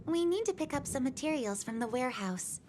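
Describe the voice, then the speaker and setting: High-pitched, official schoolgirl. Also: animated, a little fast, a little mellow, a little hiss or hum. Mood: official, matter-of-fact. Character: brisk, official student council girl. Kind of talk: English anime dub acting.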